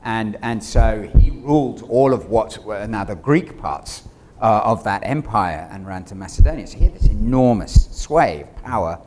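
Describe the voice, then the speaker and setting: A man's voice: fairly low pitch, lively intonation, moderate pace, darkish tone. Discursive male panel guest, noisy stage recording. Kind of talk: conversational discussion of a play. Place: on a theater stage. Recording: clear background noise, stage ambience.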